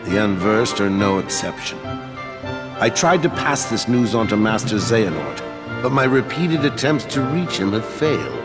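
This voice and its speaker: Stern fantasy master, middle-aged, lowish pitch, formal solemn delivery, caring, game cutscene, loud music behind. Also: male voice, very animated. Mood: solemn, troubled. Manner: formal, solemn, measured old-fashioned phrasing. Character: stern, caring older master of young warriors. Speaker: mentor and master.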